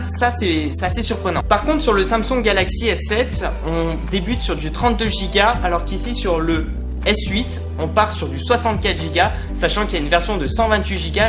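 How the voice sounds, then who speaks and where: A tech reviewer hosting a vlog, giving a casual product review in an informal, conversational and explanatory way. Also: medium pitch. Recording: music behind the voice. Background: clear background music.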